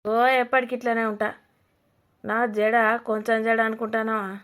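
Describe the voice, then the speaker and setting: A woman's voice: on the high side, flat delivery, normal speed, close to an everyday voice. A woman playing a blunt, nosy older village mother-in-law with a Telangana Telugu accent, scolding and mocking in a comedy cartoon.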